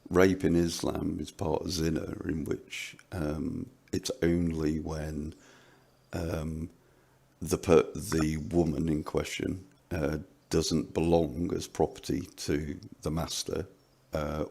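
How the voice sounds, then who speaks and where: A man with an extremely deep voice and plenty of vocal fry. He talks as a panelist in a casual discussion, halting and restarting as he reasons through his points. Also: little pitch movement, dark tone. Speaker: panelist on a commentary show.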